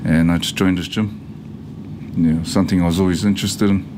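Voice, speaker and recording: A man, speaking a little low and feeling his way through each answer with fillers and restarts. Clear background noise runs under his voice. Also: steady tone. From Samoa. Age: 30s. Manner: halting, with many fillers and restarts. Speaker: pro fighter. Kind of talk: documentary interview. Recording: noisy background ambience.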